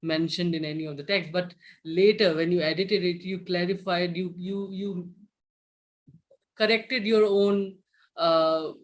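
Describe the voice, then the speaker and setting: A man's voice: high-pitched, fairly even intonation, unhurried. A man hosting a live-streamed interview, speaking politely and hesitantly, often restarting his sentences. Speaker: interviewer host.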